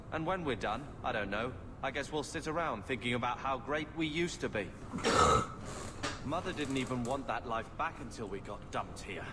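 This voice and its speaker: A man's voice: mid-range voice, noisy background, natural voice. An actor voicing a resentful, brooding young man, a bitter and sarcastic younger brother in a video game.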